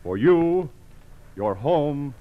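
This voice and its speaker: A man's voice: fairly high pitch, big pitch swings, drawn-out words, faint music behind. Formal American male announcer, dull vintage radio. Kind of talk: scripted 1940s radio announcing. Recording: dull 1940s radio recording.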